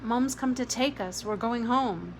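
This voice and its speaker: A woman reading a storybook, voicing an eager, excitable young boy. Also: a little slow, slight background noise. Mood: excited. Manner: excitable, high-pitched.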